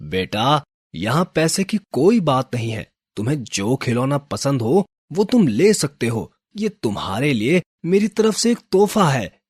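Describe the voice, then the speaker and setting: A man playing a kindly, generous toy shop keeper in a children's story, speaking in a gentle, reassuring way. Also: mid-range voice, sing-song, measured pace, breathy edge, dry recording, clean studio sound.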